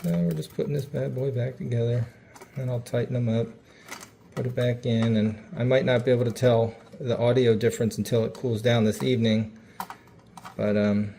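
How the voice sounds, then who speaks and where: A man's voice: mid-range voice, steady tone, moderate pace, creaky, noisy background. A man hosting a DIY repair video, talking through the hands-on work in a casual, folksy, informal way.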